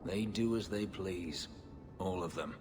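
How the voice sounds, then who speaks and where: A man voicing a brooding, bitter warrior in a video game, speaking in a measured, grave, dryly sardonic way. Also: low-pitched, long reverb tail, noisy background. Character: brooding, bitter male warrior from a slave-keeping empire.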